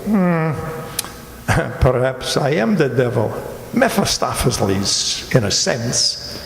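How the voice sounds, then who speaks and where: An actor playing a sly, shabby fat Italian stranger in a radio drama, with an Italian accent and a courteous, theatrical, mock-humble manner. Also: male voice, medium pitch, big pitch swings, creaky, exaggerated voice. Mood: teasing, sinister. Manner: mock-humble, ornate, teasing, deliberate speech. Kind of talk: amateur radio drama acting. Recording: very echoey reverberant hall.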